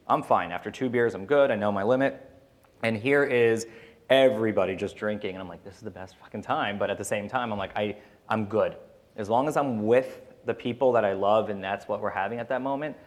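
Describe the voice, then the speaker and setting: A man speaking as a podcast host on a panel, telling personal stories in a casual, candid, rambling way with some swearing. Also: on the low side.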